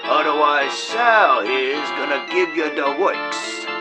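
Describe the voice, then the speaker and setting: A woman's voice: sits low, lively intonation, takes it slowly. A woman playing a folksy, teasing country announcer in a comic audio drama interlude, with ungrammatical speech. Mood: promotional. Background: music.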